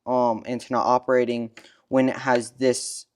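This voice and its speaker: A man with a mid-range voice gives a casual technical explanation. He is measured and matter-of-fact, speaking as a co-presenter walking through the details. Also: mid-range voice, level tone.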